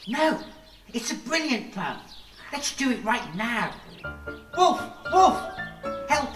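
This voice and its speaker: A woman's voice: fairly high pitch, big pitch swings, slow pace, far from the mic. A woman playing a bored, mischievous young shepherd boy in a radio play. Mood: bored, scheming. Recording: echoey room, off mic, music behind.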